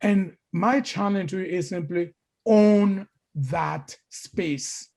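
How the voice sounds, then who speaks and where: Man from Congo, middle-aged, lecturer, storytelling, repeats himself, higher voice. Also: takes it slowly, vocal fry, darkish tone. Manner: repeats words, many restarts.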